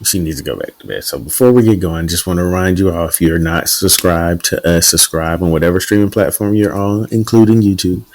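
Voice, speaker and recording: A man with a very low, deep voice hosts a podcast in relaxed, casual conversation. He teases his co-host constantly and keeps the banter light and playful. His delivery is loose and chatty. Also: fairly quick, few pauses. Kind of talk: casual chat.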